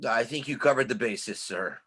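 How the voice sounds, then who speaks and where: A man in a comedy play reading, playing a meek, literal-minded office intern, hesitant, deadpan and deferential. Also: mid-range voice, fairly quick, husky. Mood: timid, deferential. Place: remote reading over a video call.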